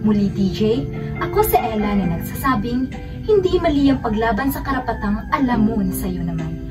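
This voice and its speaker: A woman plays an activist leader recounting her struggle in a radio drama. The voice is high, emotional and swooping, a little off the mic, with prominent music beneath. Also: expressive, moderate pace, close to an everyday voice. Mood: triumphant, earnest. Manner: earnest, emotional. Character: activist woman leader earnestly telling her life story. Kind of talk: radio drama first-person storytelling. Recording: distant mic under loud music.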